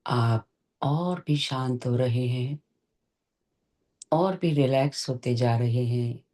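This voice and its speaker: A meditation teacher giving guided instructions gently and deliberately, in short phrases with long pauses. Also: medium pitch. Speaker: meditation teacher leading a session.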